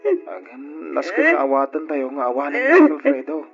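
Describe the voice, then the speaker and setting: An actor with an Ilocano accent playing a sombre, gentle male cousin in a radio drama. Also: on the high side, very animated, normal speed, gravelly, breathy edge, heavily acted. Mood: consoling. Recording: dull lo-fi radio recording, music behind.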